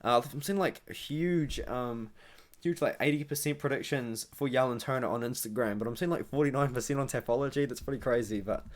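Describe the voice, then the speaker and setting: Young kiwi guy rambling through an mma pick, mid-pitched voice, keeps talking to the viewers. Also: a little fast. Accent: New Zealand. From New Zealand. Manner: chatty, rambling, self-correcting, with asides to viewers. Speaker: MMA analysis channel host. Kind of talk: casual fight prediction monologue.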